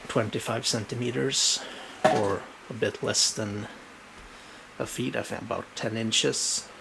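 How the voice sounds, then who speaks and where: Swedish-accented non-native man, gravelly, unhurried, fumbling for words, home recording. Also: on the low side, a bit bright, a little hiss or hum, poor audio. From Sweden. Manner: halting, with many fillers and self-corrections. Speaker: book collector showing his shelves. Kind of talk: casual home video walkthrough. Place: home room.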